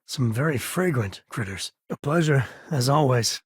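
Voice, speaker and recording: Middle-aged space ranger, video game companion, very raspy, close dry sound, wry, folksy, good-hearted, conversational. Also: male voice, medium pitch, animated, normal speed, no echo. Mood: wry, casual.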